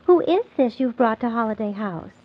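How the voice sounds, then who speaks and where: Kindly young lady hostess, high and sweet, gently indulgent; muffled 1940s children's radio. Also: expressive, normal speed. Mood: welcoming, amused.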